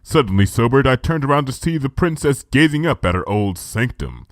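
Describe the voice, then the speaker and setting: A man reading a first-person story aloud as an expressive narrator. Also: mid-range voice, fairly quick, no echo, clean studio sound. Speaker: fan fiction narrator and reader.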